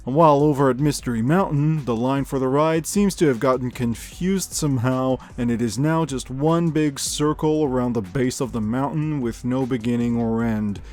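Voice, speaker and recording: A man playing an unflappable local radio news anchor, reading parody bulletins in a measured, deadpan announcer delivery. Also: medium pitch, lightly acted. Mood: composed, dry. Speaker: host. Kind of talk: scripted news broadcast parody.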